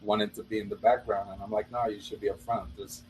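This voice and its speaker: A man from Trinidad with a Trinidadian accent, a rapper and producer telling his story in a relaxed, chatty way. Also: lowish voice, fast talker, slight background noise, rough recording. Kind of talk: casual interview chat.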